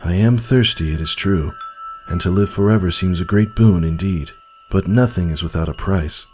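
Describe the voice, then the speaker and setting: A man playing an old male pony in a storybook tale, humble and devoted, speaking in an earnest, measured, dignified way. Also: sits low, darkish tone, faint music behind, pitched above the performer's own voice, natural voice. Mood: respectfully firm.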